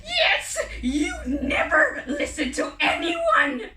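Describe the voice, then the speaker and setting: A woman voicing furious cursed birds in a storybook tale, screeching and croaking as she shouts accusations. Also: very high-pitched, wildly swooping pitch, takes it slowly, recorded from a distance, voice pushed up, exaggerated voice. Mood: furious, shouting. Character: angry screeching cursed birds turned thorny and wicked.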